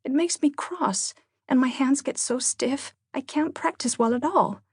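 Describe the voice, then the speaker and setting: Woman putting on a shy gentle young girl, mild, slightly high voice, storybook reading. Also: measured pace, breathy edge, no room sound, studio quality, heavily acted. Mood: fretful.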